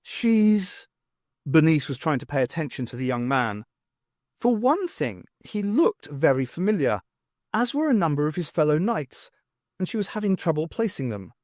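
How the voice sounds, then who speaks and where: Male fiction narrator, slightly high voice, animated delivery, dry recording. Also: normal speed, no echo. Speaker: audiobook narrator.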